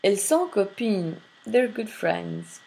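A slow, deliberate woman teaching French, her voice low and gravelly with a mellow, dark tone. She reads each textbook line aloud, then translates it plainly into English. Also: big pitch swings, poor audio. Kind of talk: screen-recorded textbook walkthrough.

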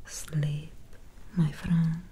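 A young woman voicing a soothing, sly female Victorian vampire doctor in a hushed, intimate ASMR roleplay, close to the microphone. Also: low-pitched, flat delivery, very slow, slight background noise. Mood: persuasive, reassuring. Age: young adult. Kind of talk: soft-spoken ASMR roleplay.

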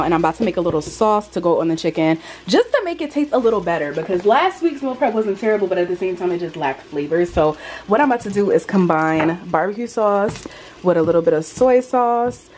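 A woman in her twenties chatting casually to her camera at home, with an animated, emphatic, slangy way of talking. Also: on the low side, fairly quick. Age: 20s. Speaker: lifestyle vlogger. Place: indoors at home, kitchen and bedroom.

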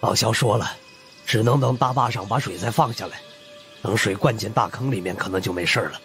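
Man playing low-voiced, troubled old grandfather. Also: a little fast, a bit bright, faint music behind, lightly acted. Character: concerned old grandfather of a village family.

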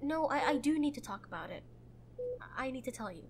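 A woman playing a protective, prickly young girlfriend in a roleplay audio drama, tender but bossy and halting when vulnerable. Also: high voice, lively intonation, slight background noise. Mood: vulnerable, earnest. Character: protective, prickly young woman, frightened for her injured lover.

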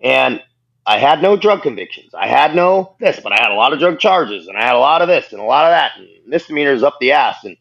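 A man's voice: medium pitch, expressive, brisk, gravelly, a bit bright. A man in his thirties, a guest on a video call, telling his story bluntly and laughing at himself. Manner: blunt, self-mocking, laughs often. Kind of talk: candid storytelling interview. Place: living room at home. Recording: dull lo-fi video call audio.

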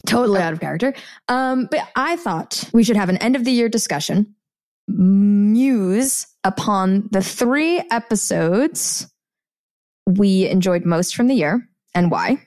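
Woman, medium pitch, teasing, playful, conversational podcast co-host, close microphone. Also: animated, measured pace, a little mellow. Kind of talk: casual podcast banter.

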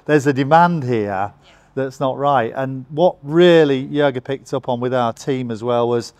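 An older man talks in a steady, mid-pitched voice, explaining things in a measured way and leaning on "you know". The sound is dry and close, with no room ring. He sits in a bright office lounge. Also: no room sound. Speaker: company executive, interviewee. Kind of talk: conversational interview. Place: bright open office lounge with large windows.

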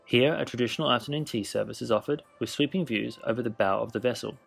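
A man narrating a scripted cruise ship tour in a measured, informative way. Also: mid-range voice, creaky, slightly rough, faint music behind. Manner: measured, informative, list-style delivery.

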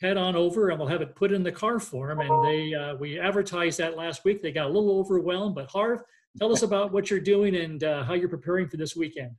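A man in his sixties, a city mayor, hosting an interview over a video call and prompting his guest briskly. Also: sits high, low-quality recording. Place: home office with bookshelves. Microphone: webcam on video call.

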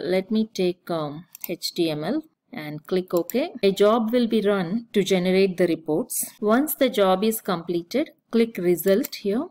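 A woman giving a screen-recorded software tutorial, explaining steps one by one with the odd filler. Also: medium pitch. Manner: steady step-by-step instruction with occasional fillers. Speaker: software trainer.

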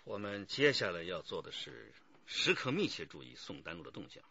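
Forceful, husky detective chief. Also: male voice, sits low, very animated, brisk, no echo, lo-fi sound, full character voice. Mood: decisive. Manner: authoritative, decisive. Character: decisive, commanding male police detective chief. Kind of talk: radio drama acting.

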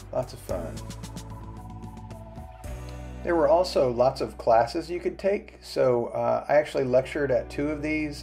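A man narrating his motorcycle travel vlog in a relaxed, chatty voice-over. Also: mid-range voice, creaky.

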